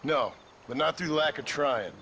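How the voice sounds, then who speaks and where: An actor playing a gruff, weary Western gunslinger in a video game, terse and sardonic, with an American accent. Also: male voice, on the high side, expressive, a little slow, a crowd in the background. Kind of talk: video game cutscene acting. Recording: clear background noise.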